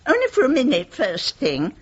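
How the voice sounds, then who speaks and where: A woman's voice: high voice, wildly swooping pitch, moderate pace, slightly rough, full character voice. An actress playing a genteel, wistful elderly British mother in a radio play. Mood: genteel, distracted. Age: older. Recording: dull, muffled radio drama recording.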